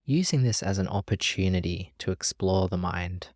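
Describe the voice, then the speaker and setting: A meditation teacher leading a guided practice in a gentle, calm, measured way with long pauses. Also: deep voice, dry recording, studio quality.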